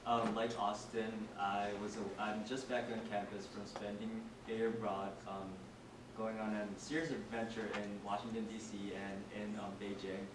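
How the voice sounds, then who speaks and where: A man's voice: on the low side, flat delivery, moderate pace. A young man, a student panelist, introducing himself casually with many fillers. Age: young adult. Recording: echoey room, far from the mic, noisy.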